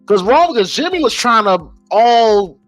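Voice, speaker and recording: A man's voice: very high-pitched, sing-song, a little slow, a bit bright, faint music behind. An American man giving casual, emphatic opinion commentary as a podcast host, informal and often repeating phrases as he thinks aloud.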